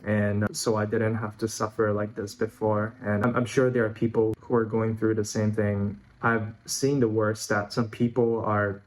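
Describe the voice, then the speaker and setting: A man's voice: fairly low pitch, little pitch movement, moderate pace, hardly any pauses, poor audio. A man in his thirties from Hong Kong and Singapore, giving an informal, candid personal monologue as a vlogger. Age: 30s. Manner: informal, candid, occasional swearing. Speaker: YouTube vlogger. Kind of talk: personal reflective monologue to camera.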